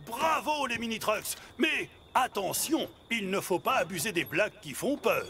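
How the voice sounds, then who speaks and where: A man's voice: high-pitched, expressive, normal speed, heavy background noise. A man voicing a jolly, encouraging old grandfather truck in a French children's cartoon, hearty and theatrical. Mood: proud, advising.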